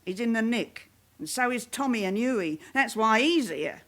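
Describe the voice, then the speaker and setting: An actress playing an anxious, sharp-tongued middle-aged mother of criminal lads, with a working-class London accent, in a radio drama. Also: on the high side, lively intonation, moderate pace. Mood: worried, pleading. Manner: wary, evasive, scolding.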